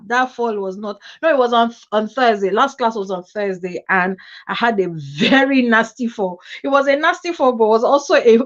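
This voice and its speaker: A woman hosting a casual livestream chat, animated and chatty, a little nasal from a cold. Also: fairly high pitch, muffled recording. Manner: chatty, animated, laughs often. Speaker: host. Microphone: webcam.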